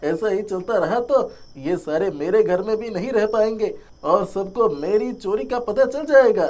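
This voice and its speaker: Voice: medium pitch, expressive, unhurried, darkish tone, lightly acted. An actor playing a resentful, scheming young farmer, a younger brother, with a sulky, complaining manner. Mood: bewildered, alarmed.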